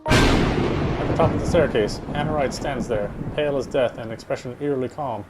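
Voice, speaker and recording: Man narrating over loud music. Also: mid-range voice, normal speed. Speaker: gamer reading a visual novel aloud. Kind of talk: dramatic first-person narration read aloud.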